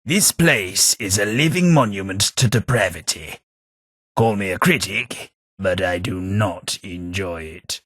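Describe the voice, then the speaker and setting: A centuries-old undead aristocrat with a British accent, drawling slow and dry in a posh, sarcastic way. His tone is bright and edgy with a husky grain. It is a close, dry studio recording with no room sound. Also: sits low, no echo, exaggerated voice. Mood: disdainful. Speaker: companion character. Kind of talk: game voice lines.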